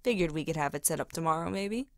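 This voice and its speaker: Actress as a caring young woman, expressive pitch, naturalistic audio drama, dry sound. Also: quick pace, no room sound. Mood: gentle, sad. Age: young adult. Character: caring, self-doubting young woman, eldest sibling.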